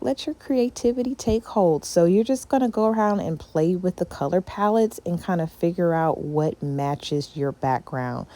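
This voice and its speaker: A woman who runs a small craft business, talking through a design tutorial in a relaxed, chatty way with lots of filler words. She sounds congested from a cold. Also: sits low. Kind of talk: casual screen-recorded how-to walkthrough.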